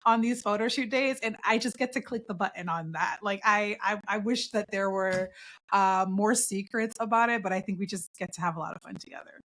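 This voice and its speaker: Female podcast guest from New York, medium pitch, reflective, explaining her work conversationally. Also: fairly even intonation, fairly quick, a bit bright. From New York City, born in Guyana. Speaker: podcast guest, portrait photographer. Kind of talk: conversational interview.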